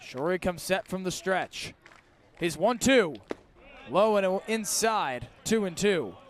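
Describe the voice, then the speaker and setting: High-pitched man calling baseball play-by-play. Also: expressive, slow pace. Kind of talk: live radio sports commentary.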